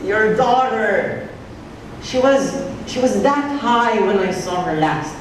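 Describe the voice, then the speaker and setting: A woman's voice: mid-range voice, lively intonation, takes it slowly. A woman acting a smug, taunting crooked friend in an amateur stage play, with a mocking, theatrical drawl. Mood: sly, insinuating. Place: stage in an echoey hall. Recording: echoey hall, distant mic, noisy.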